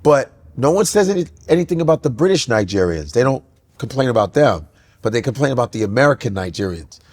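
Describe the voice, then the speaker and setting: A man co-hosting a podcast, telling comic stories in an animated way and slipping into voices and accents. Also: mid-range voice, fast. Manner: lively, slips into voices and accents to act out stories. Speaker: comedian and podcast co-host.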